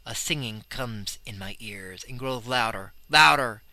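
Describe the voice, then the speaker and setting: A man's voice: mid-range voice, measured pace, bright, forceful tone, no echo. A man playing a terrified young sailor, telling a horror tale of the sea with intense dread. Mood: vengeful, frantic. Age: young adult. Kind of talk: dramatic first-person horror storytelling.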